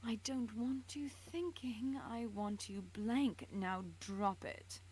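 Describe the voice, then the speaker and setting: A woman voicing a quietly menacing, controlling man from fan fiction, in slow, hushed commands. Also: a little high, voice pushed up. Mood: cold control. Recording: clear background noise.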